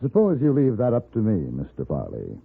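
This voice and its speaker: A self-possessed hired gun in an old radio western. The voice is husky, with lively rises and falls and a dry, polished manner. It comes through a muffled vintage recording. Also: male voice, fairly low pitch, a little fast, heavily acted. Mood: confident. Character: cool, wry, educated gunfighter for hire in the Old West. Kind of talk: 1950s radio western acting.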